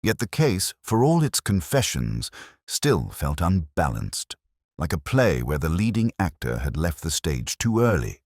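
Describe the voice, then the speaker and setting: A man narrating a scripted mystery story in a measured, literary manner. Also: low-pitched, big pitch swings, a little mellow, no echo, clean studio sound.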